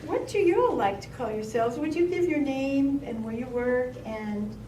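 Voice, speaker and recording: A woman moderating a panel discussion in a meeting room, chatty and relaxed with fillers and little laughs. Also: high voice, normal speed, a little hiss or hum. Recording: echoey room, far from the mic.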